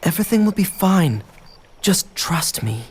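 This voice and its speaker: An actor playing an eager, headstrong teenage boy adventurer in an animated game cutscene, earnest, excitable and persuasive. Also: sits high, a little slow, breathy edge, heavily acted. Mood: pleading. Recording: music behind the voice.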